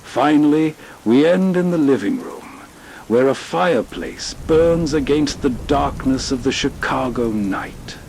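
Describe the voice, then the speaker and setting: An older man hosts a nostalgia show at a slow, unhurried pace. His voice sits at a middling pitch, and he reads a measured, atmospheric script. A faint noise lies behind him. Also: lively intonation, gravelly, darkish tone, poor audio. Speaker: host. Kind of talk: scripted introduction.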